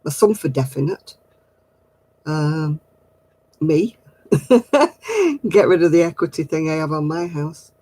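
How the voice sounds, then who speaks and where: A man's voice: on the high side, steady tone, slow, pauses often, warm tone. A middle-aged man, hosting a casual craft livestream and chatting with viewers in a giggly, rambling way. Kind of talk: casual chat answering viewer comments.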